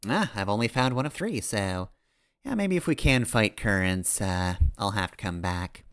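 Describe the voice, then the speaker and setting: Wry male game streamer with lively intonation. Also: lowish voice, moderate pace, smooth. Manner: wry, playful musing to himself. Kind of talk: casual rambling play-along commentary. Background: video game music.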